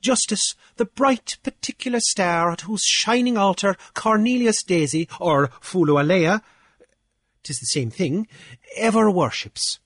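A man's voice: high-pitched, lively intonation, measured pace, a bit bright, dry recording, voice pushed up, exaggerated voice. A man voicing a pompous, drunken male beachcomber official who blusters in a lilt. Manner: bombastic, wordy, theatrical.

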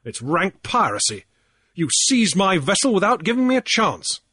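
A man's voice: high-pitched, sing-song, normal speed, bright, forceful tone, slightly rough, voice pushed up, exaggerated voice. A man voicing a stern, impatient male sea trader who speaks curtly. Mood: firm.

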